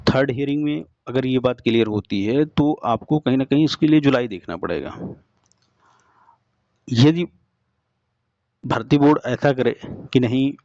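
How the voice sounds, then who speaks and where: A man's voice: mid-range voice, unhurried, long pauses, darkish tone, no room sound. Young man, dull lo-fi recording. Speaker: exam update presenter. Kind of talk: informal explanatory update for exam candidates. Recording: dull lo-fi sound.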